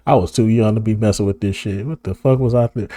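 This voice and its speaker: A hoarse man of about thirty, talking casually to camera in a rambling, slang-heavy way and laughing often. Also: fairly low pitch, moderate pace, mellow, no echo. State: hoarse, losing his voice. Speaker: video creator. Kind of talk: casual reminiscing monologue to camera.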